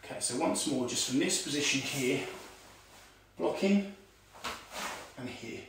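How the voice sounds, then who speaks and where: A man's voice: medium pitch, lively intonation, measured pace, creaky, slight background noise. A man in his forties teaching a karate lesson at home, explaining moves as he demonstrates them, with many "okay"s. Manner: talks while demonstrating moves, calls out commands. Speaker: karate instructor. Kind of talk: online martial arts lesson. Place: home room with tiled floor. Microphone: far camera on a stand. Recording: echoey room, voice from far off.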